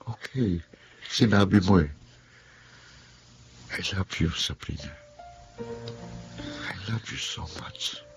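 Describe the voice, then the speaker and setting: Isang lalaking gumaganap bilang malambing na si Andrew. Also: male voice, deep voice, expressive, slow pace, gravelly, pauses often, over music, full character voice, rough recording. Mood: tender. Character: young man Andrew, devoted boyfriend. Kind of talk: Filipino radio drama acting.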